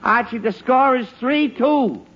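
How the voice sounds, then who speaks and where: A man's voice: extremely high pitch, measured pace. A man playing a middle-aged, exasperated suburban father in an old-time radio sitcom, sputtering and long-suffering. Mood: weary, flustered. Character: exasperated, flustered suburban father trying to hear a ballgame. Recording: muffled, noisy old radio recording.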